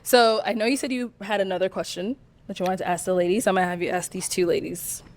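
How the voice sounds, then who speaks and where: A woman's voice: medium pitch, steady tone, fast. A woman hosting a dating show who keeps her prompts short and laughs often. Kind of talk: casual chat.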